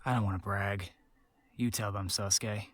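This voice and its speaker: A man's voice: lowish voice, steady tone, normal speed, no echo, close to an everyday voice. An actor playing a blunt, skeptical young male music producer with a serious, challenging manner in a visual novel game. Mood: cool, teasing.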